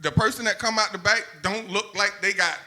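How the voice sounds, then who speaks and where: A man's voice: sits high, fairly even intonation, a little fast, pushed and bright. A man co-hosting a podcast, ranting and roasting in casual, profane, opinionated banter. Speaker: podcast co-host.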